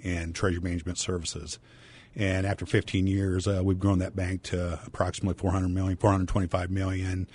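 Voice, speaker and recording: A man's voice: low voice, a little mellow, slightly rough. A middle-aged man speaking as a guest on a radio talk show, businesslike with many fillers. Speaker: guest, bank president. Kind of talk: radio interview.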